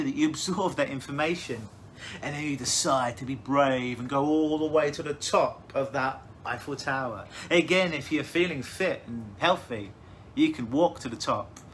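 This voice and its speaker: A man leading a guided hypnosis visualization, speaking in slow, soothing, suggestive phrases. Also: mid-range voice, fairly bright tone, slight background noise.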